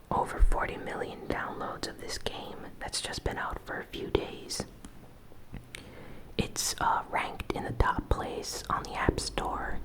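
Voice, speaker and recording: Whispering woman, slow ASMR news host. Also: poor audio. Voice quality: breathy, unvoiced whisper. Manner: soft, unhurried, informal commentary.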